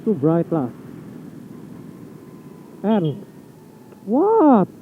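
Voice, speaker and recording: A man's voice: sits high, huge pitch swings, drawn-out words, slight background noise. Blunt Indonesian-accented young man, tinny sound. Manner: chatty, blunt, swears casually. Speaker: motovlogger. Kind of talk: casual vlog monologue.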